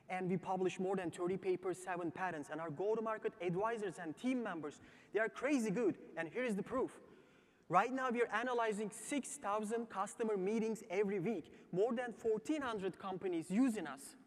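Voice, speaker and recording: Man, Turkish accent, high voice, emphatic business pitch, short declarative phrases, long theatre reverb. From Turkey. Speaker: startup founder pitching. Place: large theatre stage before live audience.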